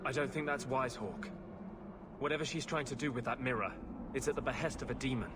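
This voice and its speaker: A man's voice: medium pitch, fairly quick, lightly acted. A man playing a stern, bitter mage healer in a video game cutscene, blunt and scornful in his delivery. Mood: disapproving. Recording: noisy game ambience behind.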